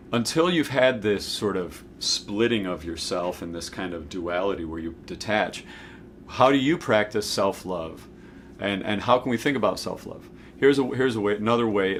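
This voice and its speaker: Lower-voiced man in his forties, noisy recording. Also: a bit bright. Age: 40s. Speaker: host of a spiritual self-help video series. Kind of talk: informal spiritual teaching talk to camera.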